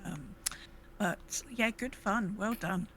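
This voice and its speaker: A woman co-hosting a podcast in casual, halting talk, often rephrasing as she goes. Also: mid-range voice, fairly bright tone, slight background noise. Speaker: podcast co-host. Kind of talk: casual chat and informal news round-up.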